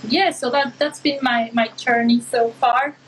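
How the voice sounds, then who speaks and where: A woman's voice: fairly high pitch, fairly even intonation, measured pace, noisy background. A woman from Argentina, speaking English as an on a casual live stream, hesitant and searching for words. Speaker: co-host.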